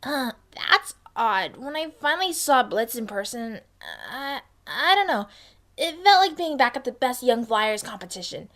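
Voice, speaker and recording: A woman plays a young, athletic winged mare in an audio storybook. She speaks frankly in a slightly high voice. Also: animated, a little slow, fairly bright tone. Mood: puzzled. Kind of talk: dramatised fan fiction reading.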